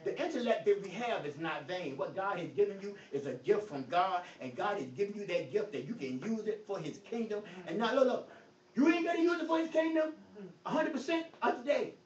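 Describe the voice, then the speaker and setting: A man with a high voice preaches at a Bible study, his volume swinging from loud bursts to quiet. His comes through with a stutter. The phone sits far from him in an echoey room, so the sound is dull and faint, with a little hiss. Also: lively intonation, a little fast. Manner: preachy, emphatic, rises and drops in volume; says he stutters. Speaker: pastor. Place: church hall. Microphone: phone across the room. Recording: echoey, distant, lo-fi phone recording.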